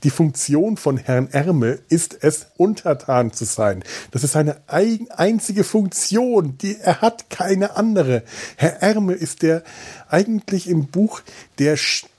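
A man speaks in a mellow, dark voice with a husky grain. He delivers a casual podcast monologue, circling back on his points with wry, overblown repetition. His phrasing is halting, full of doubled words and fresh starts. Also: on the high side. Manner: wry, exaggerated repetition for comic effect; halting, often restarts and repeats words. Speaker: podcast host. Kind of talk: casual spoken film review, loose monologue.

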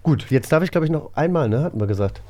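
A man's voice: on the high side, expressive, fast, darkish tone. Chatty male podcast host. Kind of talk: casual radio play podcast talk.

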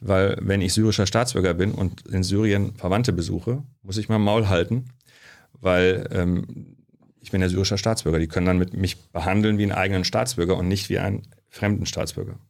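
A man from Germany, a sociologist guest, telling stories in a relaxed studio interview, with lots of fillers. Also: low voice, brisk, creaky. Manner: chatty storyteller, frequent fillers.

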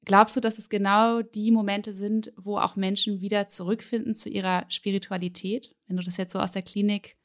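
A woman hosting an interview, asking gently leading questions with encouraging words. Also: mid-range voice, little pitch movement, moderate pace, few pauses. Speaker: podcast host.

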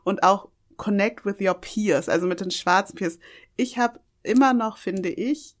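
A woman's voice: medium pitch, a little slow. A woman in her thirties, a podcast guest, speaking reflectively and emphatically, dropping English phrases into her talk. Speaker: interview guest. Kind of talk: podcast conversation.

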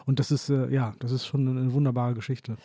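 Guest, male, northern German origin, mellow, relaxed talker, dry sound. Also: medium pitch, fast, no echo. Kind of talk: casual interview chat.

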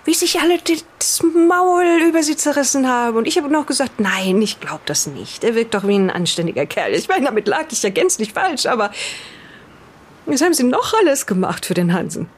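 A woman playing a shocked, reproachful wife in an improvised audio drama, speaking emotionally and haltingly. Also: a little high, animated, breathy edge. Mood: agitated, indignant. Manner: emotional, indignant, halting when shaken. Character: shocked, reproachful wife clinging to hope about her husband.

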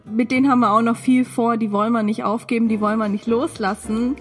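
High-pitched young woman, playfully dramatic. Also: little pitch movement, quick pace. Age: late twenties. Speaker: podcast co-host. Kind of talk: casual, joking banter.

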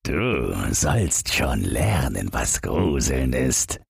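Guy doing a sly confident church sexton from a fairytale, raspy breathy, slow. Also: rumbling low, sing-song, no room sound, clean studio sound, pitched below the performer's own voice, exaggerated voice. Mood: scheming. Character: confident, sly village sexton, self-assured schemer. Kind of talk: fairy-tale audiobook character voice.

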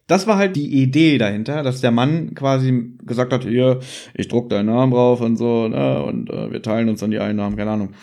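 A man's voice: mid-range voice, a little fast, gravelly, mellow. A man hosting a podcast in casual chat, explaining things discursively with asides and little tag questions. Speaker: podcast host.

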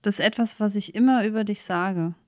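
A woman's voice: mid-range voice, fairly quick, noisy background. A woman co-hosting a casual podcast, talking informally and thinking aloud in loosely built sentences. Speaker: podcast co-host.

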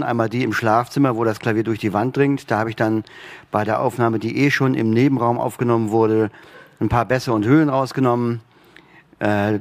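A man explaining how he edited the sound of a short radio play, with frequent fillers. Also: fairly low pitch. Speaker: workshop participant, sound editor. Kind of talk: explaining his sound editing.

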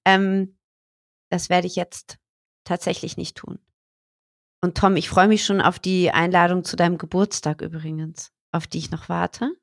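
A woman's voice: lowish voice, level tone, measured pace, no room sound, studio quality. A woman co-hosting a podcast in a chatty, joking way, with a slight cold. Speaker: podcast co-host. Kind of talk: casual podcast chat.

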